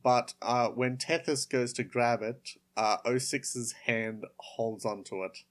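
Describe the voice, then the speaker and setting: A man narrating his character's actions in a tabletop game, casual and a little halting. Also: medium pitch. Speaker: player in an actual play podcast.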